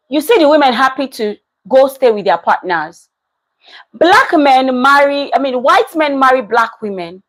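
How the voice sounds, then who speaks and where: A woman talks through a casual reaction commentary, leaning hard on her points and going back to them. Her voice sits on the higher side. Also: rough recording. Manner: animated, emphatic, repeats herself. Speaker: commentary vlogger.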